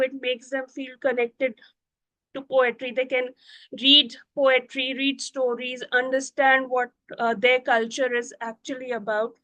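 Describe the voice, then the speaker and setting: A middle-aged woman whose first language is Urdu, a Montessori teacher talking gently and unhurriedly, with many pauses and "you know"s. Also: sits high, no echo, poor audio. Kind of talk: reflective talk to camera.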